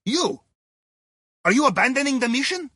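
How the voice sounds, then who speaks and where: A playful Russian submarine captain for a video game. The voice sits high for a man and swings widely in pitch, with a husky grain. He guides a crew with wry, theatrical flair in accented English. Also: sing-song, moderate pace, bright, forceful tone, no echo, studio quality, heavily acted. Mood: urgent. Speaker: mission guide over comms.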